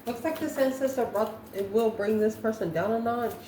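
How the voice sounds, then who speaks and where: A woman giving an intuitive tarot reading to viewers, musing aloud in short, halting phrases. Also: on the high side, dark tone. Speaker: tarot reader, host. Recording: roomy echo, distant mic, background noise.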